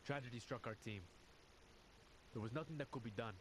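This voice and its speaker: A man acting an earnest, troubled squad officer who confides deliberately in a video game audio log. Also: mid-range voice, background noise, close to an everyday voice. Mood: grave, uneasy.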